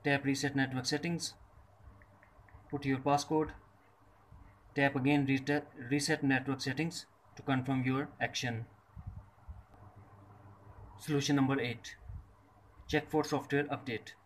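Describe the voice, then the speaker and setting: A man narrates a scripted tech tutorial at a slow pace, with a mid-pitched voice. He gives instructions step by step, breaking them into short, separate phrases. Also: little pitch movement, poor audio. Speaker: tutorial presenter.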